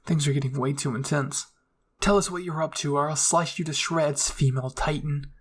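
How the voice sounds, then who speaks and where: A man's voice: on the high side, fairly even intonation, normal speed, slightly rough. A man voicing a stern, protective young woman soldier in an anime story. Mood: threatening. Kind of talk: anime fan-fiction roleplay.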